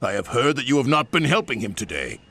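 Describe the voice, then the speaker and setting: A man's voice: medium pitch, big pitch swings, quick pace, a bit bright, slightly rough, exaggerated voice. A man voicing a stern, formal, authoritative railway boss in a children's story.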